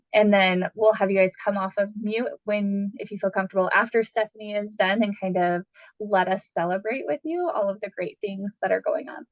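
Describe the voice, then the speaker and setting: A woman's voice: mid-range voice, no echo. A young woman hosting an online meeting, chatty and friendly as she reads out the chat. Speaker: meeting host. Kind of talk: casual meeting introduction. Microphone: computer mic on a video call. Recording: dull, dry video call audio.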